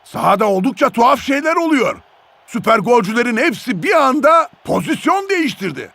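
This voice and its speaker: A man voicing an excitable football commentator in a cartoon dub, with dramatic, exclamatory delivery. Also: very high-pitched, fairly quick, vocal fry, full character voice. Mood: amazed. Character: excitable high-pitched male football match commentator. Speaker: sports commentator. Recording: loud music behind the voice. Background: music.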